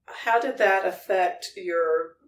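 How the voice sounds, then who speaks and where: A woman's voice: lowish voice, takes it slowly, slight background noise. A woman hosting a conversational podcast interview, asking questions. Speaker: guest host, interviewer. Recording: roomy echo, far from microphone.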